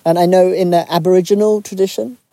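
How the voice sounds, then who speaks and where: A retreat leader from Zambia giving a soft, reflective talk to a group, pausing often and restarting phrases. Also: medium pitch, fairly even intonation, no echo.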